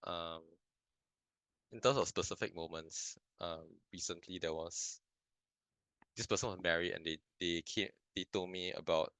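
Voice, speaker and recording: Deep-voiced hesitant young man from Singapore. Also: steady tone, measured pace, fairly bright tone, no echo, poor audio. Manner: hesitant, many restarts and fillers, thoughtful. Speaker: filmmaker guest. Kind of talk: casual interview answers. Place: lives in Canada.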